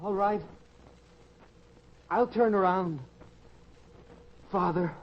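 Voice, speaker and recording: Ashamed prodigal son, acted by a man, slightly high. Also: very slow. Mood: contrite. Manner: contrite, tense and defensive. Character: ashamed, ragged wandering son returning home. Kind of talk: old-time radio acting. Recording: muffled lo-fi sound, faint noise.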